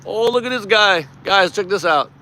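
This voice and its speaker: A man's voice: sits high, animated, moderate pace, pushed and bright. A man from Iran, a native Persian speaker, hosting a casual livestream and giving emphatic, informal political commentary with some swearing. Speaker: live stream host.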